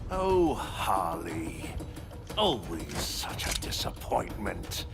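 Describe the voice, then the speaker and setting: A man's voice: deep voice, wildly swooping pitch, extremely slow, vocal fry, full character voice. An actor playing a mocking male clown villain with a theatrical, sneering delivery. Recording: distant voice over loud music.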